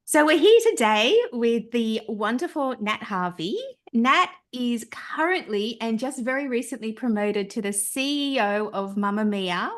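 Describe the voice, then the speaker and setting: A woman hosting an interview podcast, reading a scripted intro and then chatting and laughing with her guest. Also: a little high. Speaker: podcast host.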